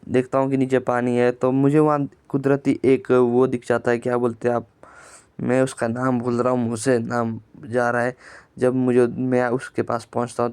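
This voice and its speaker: A man with a sore throat narrating his own gameplay for his channel in a plain, flat storytelling way, often repeating words. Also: medium pitch, clear, resonant tone, no echo. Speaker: gaming channel creator.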